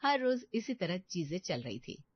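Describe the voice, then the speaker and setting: A woman's voice: on the low side, big pitch swings, moderate pace, breathy edge, no room sound, dull audio. Woman narrating a story, dry sound.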